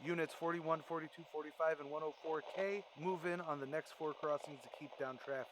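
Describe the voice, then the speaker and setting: A man's voice: on the high side, fairly even intonation, brisk, noisy background, natural voice. Man as a clipped police radio dispatcher. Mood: brisk, official. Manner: clipped, procedural. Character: brisk, businesslike male police radio dispatcher. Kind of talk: radio crime drama acting.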